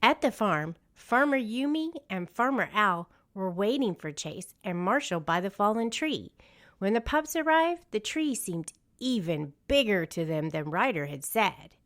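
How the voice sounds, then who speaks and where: A woman narrates a children's storybook. She reads slowly and deliberately, with very lively swings in pitch to keep the storytelling animated. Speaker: storybook reader. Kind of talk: scripted children's read-aloud narration.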